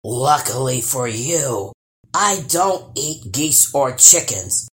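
A woman voicing a huge, boastful male troll with three heads, speaking in a slow, loud growl. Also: rumbling low, animated, voice pushed down, full character voice. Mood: gruff boasting.

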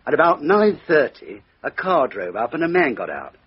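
A man playing a deferential police sergeant reporting to his superior in a 1950s radio drama, raspy voice. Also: fairly high pitch, very animated, moderate pace. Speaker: police officer. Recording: dull old radio broadcast.

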